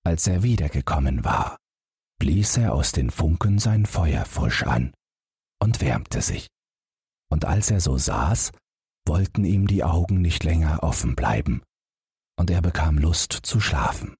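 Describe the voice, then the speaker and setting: A man narrating a fairy tale as an audiobook, measured and dramatic in his expressive storytelling. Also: a bass voice, slightly rough, dry recording, clean studio sound.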